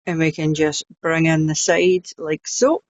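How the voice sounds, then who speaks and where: A woman's voice: low-pitched, flat delivery, measured pace. A woman with a Scottish accent, chatting her way through a step-by-step crafting tutorial with blunt, frank asides. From Scotland.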